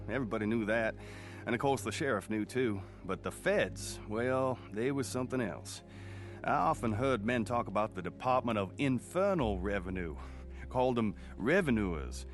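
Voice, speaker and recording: A man's voice: mid-range voice, lightly acted. An actor playing a plainspoken country man recalling his boyhood, narrating a radio drama with folksy speech. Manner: folksy storytelling.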